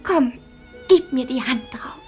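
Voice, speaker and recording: An actress playing a brave, devoted young daughter in a 1950s radio play, wistful and theatrical, with breathy delivery. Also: female voice, high-pitched, lively intonation, a little slow, warm tone, faint music behind. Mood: tender, breathy.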